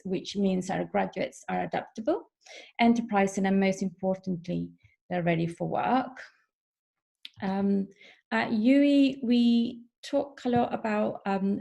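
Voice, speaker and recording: A woman from Peru, an international officer, giving a measured online information session over a video call with frequent fillers. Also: mid-range voice, gravelly, warm tone. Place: home or office in the evening.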